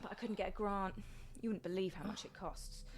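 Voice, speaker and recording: A woman's voice: medium pitch, steady tone, fairly quick, very noisy, close to an everyday voice. A woman playing a wistful aspiring actress in her thirties in a radio comedy. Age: 30s. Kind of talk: radio comedy play acting.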